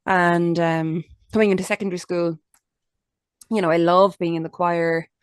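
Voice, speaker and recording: Young Irish woman, lowish voice, candid and chatty interview guest, dry recording. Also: level tone, moderate pace, clear, resonant tone, dry recording. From Ireland. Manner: chatty and candid, many restarts and "like" fillers. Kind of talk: casual conversational interview.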